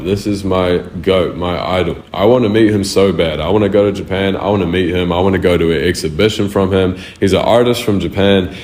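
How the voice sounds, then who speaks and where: Young kiwi guy rambling answers, cusses a lot, low voice, kinda echoey. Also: darkish tone. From New Zealand. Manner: loose, slangy and profane. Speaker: music artist. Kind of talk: casual answers to interview questions. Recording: roomy echo.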